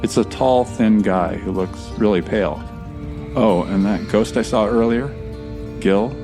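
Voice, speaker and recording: A man as a stranded American time traveler, low voice, conversational, earnest, audio drama, music behind. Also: a little slow. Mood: uneasy, narrating. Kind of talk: first-person audio drama acting.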